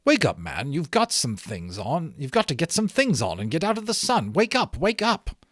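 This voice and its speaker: Man acting fussy, elegant island gentleman, animated pitch. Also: moderate pace, no echo, studio quality. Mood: urgent. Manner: courtly, insistent, formal phrasing. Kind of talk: storybook read-aloud character voice.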